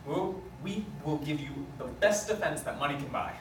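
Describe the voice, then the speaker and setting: A man performs a bossy but hopeful lawyer at a table read, with a slightly high voice. The sound is roomy, with clear background noise. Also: moderate pace, fairly bright tone, natural voice. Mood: businesslike. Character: earnest male lawyer, bossy, hopeful, given to speeches. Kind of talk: amateur script table read. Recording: distant, echoey, noisy room.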